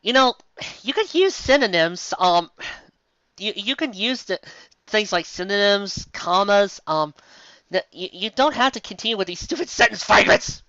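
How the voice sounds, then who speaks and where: An excitable fan fiction reader with a bright, very animated delivery. The reading is theatrical and often breaks into exasperated commentary. The sound is close, dry and a little dulled. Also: mid-range voice, normal speed, dry recording, highs cut off. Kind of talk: comedic dramatic reading with asides.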